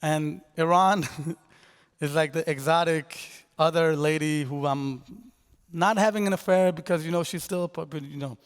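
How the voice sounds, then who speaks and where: A young man from Denmark telling a conference audience about his podcast in a loose, rambling way. His voice is smooth and a bit higher than most men's, with a long reverb carrying through the hall. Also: steady tone, normal speed. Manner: casual and rambling, full of fillers and restarts. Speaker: podcast creator presenting his work. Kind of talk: conference talk.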